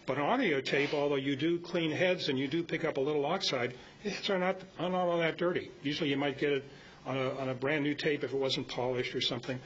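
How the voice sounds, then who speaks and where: An older man gives a halting, anecdotal talk to a club meeting. His voice is a little high for a man. The live recording is dull, with faint noise behind him. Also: fairly even intonation. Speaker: club speaker presenting on audio tape. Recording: muffled, slightly noisy meeting-room recording.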